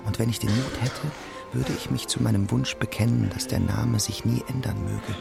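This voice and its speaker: A very gravelly, courtly gentleman suitor. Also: fairly low pitch, moderate pace. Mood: courting, flattering. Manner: courtly, flattering. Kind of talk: German radio play dialogue. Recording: music behind the voice.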